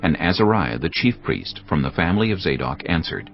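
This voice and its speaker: A man giving a solemn, measured, scripted narration of scripture as part of a dramatised audio production. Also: low voice, lively intonation, muffled recording. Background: music.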